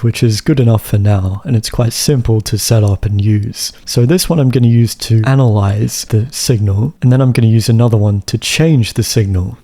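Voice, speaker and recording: A man giving a casual, step-by-step instructional narration, like a software tutorial host, with some dry humour. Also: medium pitch. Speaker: music production tutorial host. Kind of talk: instructional screen-recording narration.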